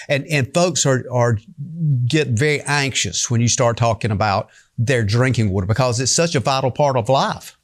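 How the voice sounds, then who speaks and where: A male talk show host, mid-pitched, who frames his questions in long, looping runs. He restarts and doubles words as he builds up to each question. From Eastern North Carolina. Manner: rambling, repeats words while framing questions. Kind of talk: casual interview.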